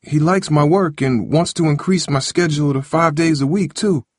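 Audiobook role: male reader as earnest teen boy, defensive and evasive, pitched up, very dry recording. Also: moderate pace, no echo, lightly acted. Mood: making excuses. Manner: defensive, pleading. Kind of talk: audiobook character dialogue.

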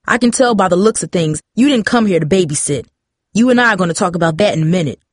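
A woman voicing a stern, protective, angry father in an audiobook story, scolding forcefully. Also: fairly low pitch, lively intonation, fast talker, dry recording, studio quality. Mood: furious, threatening. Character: furious, protective father confronting daughter and boy.

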